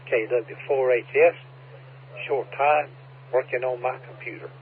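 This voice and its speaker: A man's voice: medium pitch, steady tone, unhurried, phone-quality sound. A man giving a brief check-in over amateur radio, spelling his call sign phonetically. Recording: thin, noisy radio transmission.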